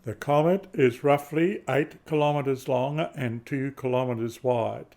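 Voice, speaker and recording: A man's voice: mid-range voice, gravelly, darkish tone. A man playing an elderly, formal professor of history who lectures didactically in an amateur radio play. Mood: solemn, informative. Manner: measured, didactic.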